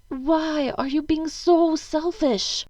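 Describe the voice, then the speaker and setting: A woman's voice: high-pitched, fairly even intonation, slow pace, warm tone, dry recording. A woman playing a stern, anxious upper-class mother in an audio drama, imperious and pleading. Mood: tearful guilt-tripping. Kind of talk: fan audio drama acting.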